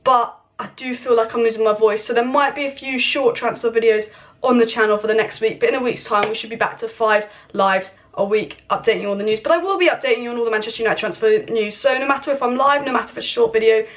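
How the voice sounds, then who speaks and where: A young woman with a high voice gone hoarse rattles through football news at a quick clip. She sounds chatty and opinionated, talking straight to her viewers. She is recorded at home on a camera's built-in mic. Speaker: football channel host. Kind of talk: casual transfer news update. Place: home with kitchen clatter nearby.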